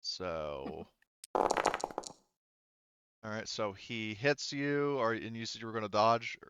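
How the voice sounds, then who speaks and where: A man's voice: lowish voice, animated, slow pace, a bit bright, clear, resonant tone, no room sound, highs cut off. A man acting as game master, talking through rules and narrating a tabletop game casually, often thinking aloud.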